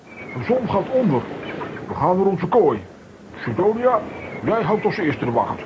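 A man's voice: high-pitched, big pitch swings, a little slow, dull audio, noisy background. A man playing a bossy, joking boat skipper in a children's radio play, hearty and commanding. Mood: teasing.

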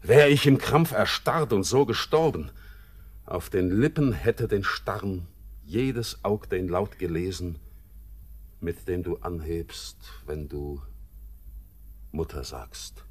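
Brooding, low-voiced seaman, slow and weighty. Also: breathy edge, heavily acted. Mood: anguished. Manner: theatrical, weighty verse declamation. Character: brooding, melancholy seaman, bitter and world-weary. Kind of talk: classic German radio drama acting.

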